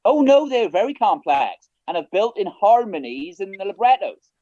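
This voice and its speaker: A man's voice: high voice, sing-song, normal speed, mellow, no room sound, dull audio. A man playing a knowledgeable, wisecracking pub regular in a radio comedy. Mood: explanatory.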